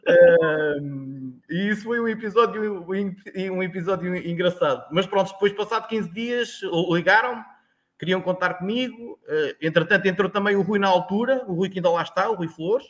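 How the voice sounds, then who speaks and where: A man's voice: sits high, moderate pace. A man in his forties with a European Portuguese accent, a guest telling his story in a casual chat, rambling with many restarts. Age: 40s.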